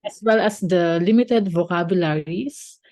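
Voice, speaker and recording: A woman's voice: fairly low pitch, unhurried, a little mellow, no echo. A woman teacher and podcast co-host who is not a native English speaker, chatting casually with many fillers and restarts.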